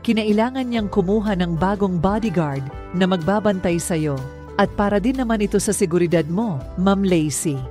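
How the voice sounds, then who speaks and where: A woman voicing a kindly, patient older female housekeeper in an audio drama, speaking in a gentle, coaxing way. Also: mid-range voice, moderate pace, faint music behind, close to an everyday voice. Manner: gentle, coaxing, calls the girl "iha". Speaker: voice actor.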